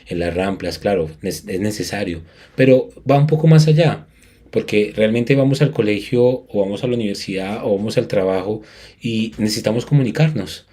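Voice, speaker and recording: A man's voice: on the low side, lively intonation, moderate pace, dark tone, breathy edge. A man from Colombia giving an academic conference talk as a music educator, earnest and emphatic, with frequent restarts.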